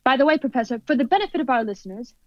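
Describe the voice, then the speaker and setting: A woman's voice: sits high, animated, no echo. Woman playing a formal radio commentator, dull sound. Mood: formal, composed. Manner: formal, measured announcer delivery. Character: poised female radio news commentator reporting on location. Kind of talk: old-time radio drama interview and reporting. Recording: dull lo-fi audio.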